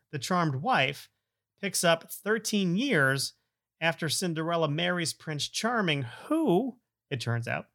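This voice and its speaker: A middle-aged man rambles through a podcast intro in a bright, slightly high voice. He jokes, laughs often and pokes fun at himself. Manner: joking, self-deprecating, laughs often. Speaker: podcast host.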